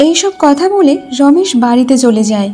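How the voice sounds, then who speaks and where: A woman's voice: sits high, animated, moderate pace. A woman narrating a cartoon folk tale and voicing its village wives. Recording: music behind the voice.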